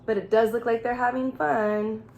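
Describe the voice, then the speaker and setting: A woman teacher reading a picture book aloud to young children, playful and expressive, pausing to ask simple questions and explain. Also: a little high, highs cut off, a little hiss or hum. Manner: expressive, playful, with gasps and exclamations. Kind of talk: children's storybook read-aloud with questions and asides.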